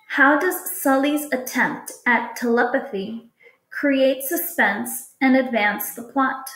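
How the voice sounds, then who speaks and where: A woman teacher reading test questions aloud to students in a deliberate, instructional way. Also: sits high, fairly bright tone. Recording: echoey room.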